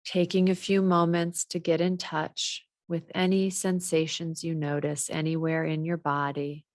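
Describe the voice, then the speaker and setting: A woman guiding a body scan meditation in a measured, gently instructional way. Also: deep voice, flat delivery, dry recording, studio quality. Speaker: meditation teacher.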